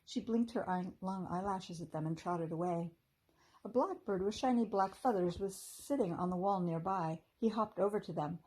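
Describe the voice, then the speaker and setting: Warm-voiced teacher reading a storybook. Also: female voice, on the low side, moderate pace, husky, poor audio. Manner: gentle storytelling. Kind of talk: reading a children's chapter book aloud.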